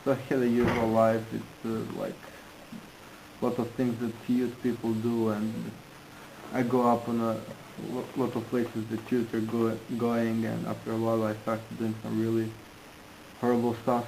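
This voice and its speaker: A man interpreting into English, halting and restarting in phrasing. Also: medium pitch, completely flat delivery, gravelly, darkish tone, a little hiss or hum, rough recording. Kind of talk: consecutive interpreting.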